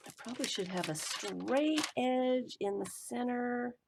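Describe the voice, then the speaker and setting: A woman crafting and talking to herself as she works, her voice going hoarse. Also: fairly high pitch, lively intonation, measured pace, noisy background. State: voice giving out, hoarse. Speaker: collage journaling vlogger. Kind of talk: casual running commentary while crafting.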